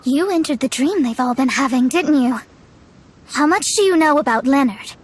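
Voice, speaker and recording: A woman's voice: sits high, expressive, normal speed, slight background noise. A woman playing a proud, bookish young astrologer in a video game, articulate, haughty and dramatic, with music behind. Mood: incredulous. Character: proud, bookish young female astrologer, self-assured and fiery. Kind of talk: scripted video game voice acting.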